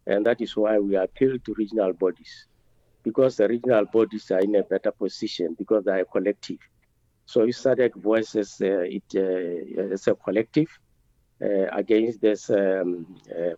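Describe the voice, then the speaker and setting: A man with a Botswanan accent, answering as a politician in an interview in a halting, deliberate way, with many hesitations. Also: lowish voice, warm tone, dry recording, muffled recording. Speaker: member of parliament being interviewed.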